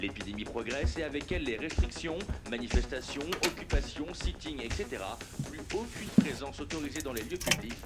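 A man's voice: mid-range voice, animated, fairly quick, few pauses, lightly acted. A man as a matter-of-fact radio presenter giving an evening news introduction. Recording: music behind the voice.

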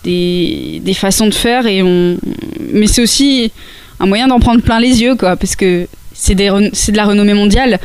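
A woman's voice: mid-range voice, moderate pace, a little mellow, smooth, dry recording. A woman giving a casual podcast segment on cosplay, talking with many hesitations and restarts.

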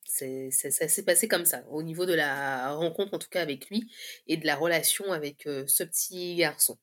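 A woman in her thirties giving a candid personal testimony in conversation, often pausing mid-phrase as she searches for words. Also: low voice, a bit bright. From Guadeloupe. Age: 30s.

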